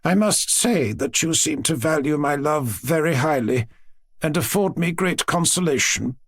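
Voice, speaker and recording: Man acting a high-voiced old aristocratic grandmother, imperious, wounded, slow emphatic scolding, swooping intonation, storybook reading. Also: animated, fairly bright tone, slightly rough, no echo, clean studio sound, full character voice. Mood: cold, reproachful.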